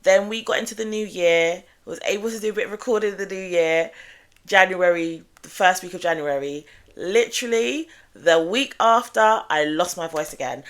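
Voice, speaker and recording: A woman hosting a true crime show, talking in a measured, analytical way with small restarts, her voice still slightly groggy after being ill. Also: on the low side. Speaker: true crime channel host. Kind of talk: true crime explainer monologue.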